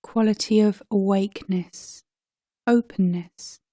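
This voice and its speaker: A woman teacher leading a guided body scan meditation in a calm, gentle, soothing manner with long pauses. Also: medium pitch, no echo, studio quality. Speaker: mindfulness and yoga teacher.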